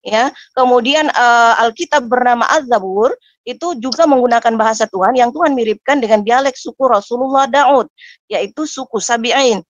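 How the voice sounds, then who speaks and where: Brief: woman in a call debate, higher voice, dry lo-fi audio, steady explaining. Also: normal speed, no room sound. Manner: explains steadily, answers back when interrupted. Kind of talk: casual online debate. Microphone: video call.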